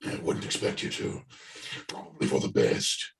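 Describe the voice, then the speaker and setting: A man playing a lonely, bitter, world-worn father in a stage play monologue, halting and brooding. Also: close to an everyday voice. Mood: bitter, resigned. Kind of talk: play table reading, monologue. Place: indoors, echoey room, remote video call. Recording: roomy echo on video call.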